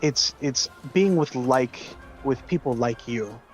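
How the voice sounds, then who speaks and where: A man's voice: mid-range voice, lively intonation, slow. A man chatting casually in a probing way, often repeating his questions. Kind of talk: casual chat. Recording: slightly dull lo-fi mic, music behind. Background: music.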